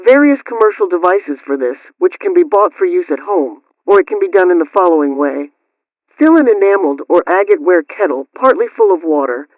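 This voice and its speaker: High-pitched man reading a dull-sounding radio bulletin. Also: no room sound. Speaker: radio host presenting household advice. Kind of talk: scripted read narration, question-and-answer household bulletin.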